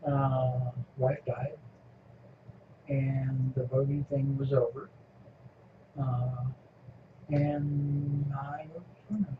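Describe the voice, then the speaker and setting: An older man from California, an interviewee reminiscing casually in a halting, rambling way and chuckling often. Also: medium pitch, level tone. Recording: echoey room, muffled lo-fi, noisy.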